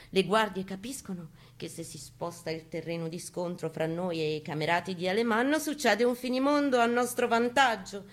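A woman gives an emphatic, theatrical reading of a first-person story. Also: mid-range voice. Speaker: reader at a live spoken-word reading.

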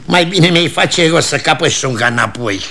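A man's voice: a little high, lively intonation, vocal fry, sharp tone, exaggerated voice. An actor playing a grumpy, grumbling townsman in an old radio comedy. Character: grumpy townsman grousing about his stolen ham. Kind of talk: mid-century radio comedy theatre acting. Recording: old radio recording, faint noise.

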